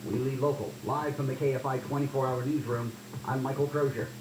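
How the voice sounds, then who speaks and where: A man's voice: medium pitch, a little fast. A man reading scripted weather and news as a radio newsreader. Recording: roomy, clearly noisy with hum.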